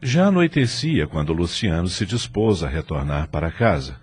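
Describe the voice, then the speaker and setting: A man's voice: deep voice, sing-song, moderate pace, over music. A man with a Brazilian Portuguese accent giving solemn, scripted storytelling narration for an audio drama.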